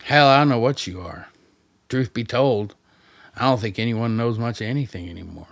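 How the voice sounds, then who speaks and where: An actor playing a gruff, wry rural guide in a radio play, talking in a blunt, folksy, plain-spoken way. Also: male voice, mid-range voice, normal speed, no room sound, close to an everyday voice.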